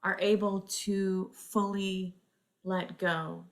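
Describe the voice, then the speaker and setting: A woman teaching yoga nidra, speaking in measured guided-meditation phrases with frequent pauses mid-sentence. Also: mid-range voice, little pitch movement.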